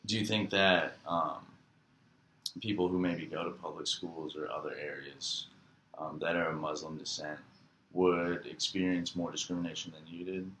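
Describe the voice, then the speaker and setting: Deep-voiced man asking rambling questions. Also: fairly even intonation, normal speed, a bit bright. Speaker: interviewer. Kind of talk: casual interview questions. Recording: echoey room with faint hiss.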